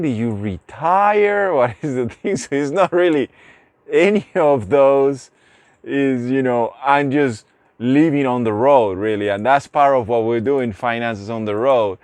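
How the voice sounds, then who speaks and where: Puerto rican guy, spanish accent, lowish voice, rambly vlog chat, dry sound. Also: darkish tone, no room sound. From Puerto Rico. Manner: rambling, many restarts and fillers. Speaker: vlogger. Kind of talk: casual talk to camera.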